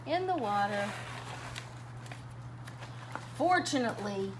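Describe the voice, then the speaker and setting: A woman reading a children's picture book aloud like a teacher, dramatic and expressive, chatting and asking questions of young listeners. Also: mid-range voice, takes it slowly. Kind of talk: animated storytime read-aloud with commentary and questions. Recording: clearly noisy background.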